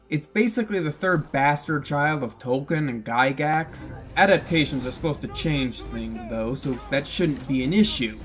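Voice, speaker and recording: A man giving casual, opinionated film commentary in a blunt, sardonic way. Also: on the high side. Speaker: video essayist reviewing films.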